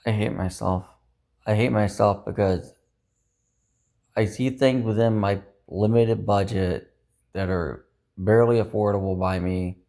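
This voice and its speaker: Low-voiced man venting in frustration. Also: fairly even intonation, measured pace, pauses often, mellow. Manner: frustrated, self-critical venting with frequent swearing. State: upset, dejected. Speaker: personal vlogger. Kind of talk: rambling confessional talk to camera.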